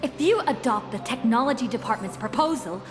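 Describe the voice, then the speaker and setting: An earnest young envoy, voiced by a woman, speaking with formal sincerity. Her bright, higher voice rings out in a long reverb with clear music behind her. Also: animated, a little fast, lightly acted. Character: earnest young female envoy pleading before a council. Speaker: game character. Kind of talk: video game voice acting.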